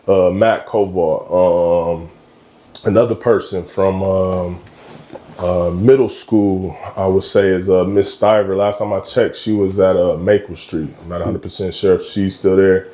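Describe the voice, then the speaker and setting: Deep laid-back man in an echoey room. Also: steady tone, a little slow, mellow. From Michigan, USA. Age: 30s. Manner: laid-back, slangy, lots of ums. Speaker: guest, college basketball coach. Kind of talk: casual interview chat.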